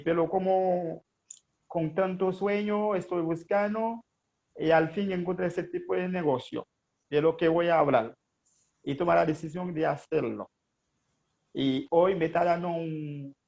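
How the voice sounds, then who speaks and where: Haitian man, gravelly voice, pitched high. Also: a little mellow, highs cut off. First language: Haitian Creole. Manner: earnest, hesitant with frequent fillers. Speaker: network marketing presenter. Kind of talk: motivational business presentation.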